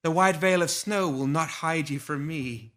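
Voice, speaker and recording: A man voicing a vengeful female demon leader, seductive and menacing, with theatrical drawn-out threats in a storybook reading. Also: on the high side, voice pushed up. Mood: seductive menace. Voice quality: soft, seductive.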